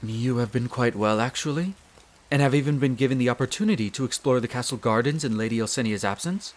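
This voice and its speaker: A man playing a courteous, devoted wolf butler in a roleplay audio drama, speaking in a formal, measured and deferential way. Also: mid-range voice, natural voice. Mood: concerned, polite. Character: courteous, devoted male wolf butler, head servant of vampire castle.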